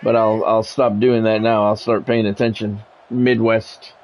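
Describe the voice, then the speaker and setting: A man's voice: fairly low pitch, flat delivery, measured pace, a little mellow, a little hiss or hum. A man livestreaming a video game, giving casual commentary and chatting with viewers in a repetitive, explanatory way.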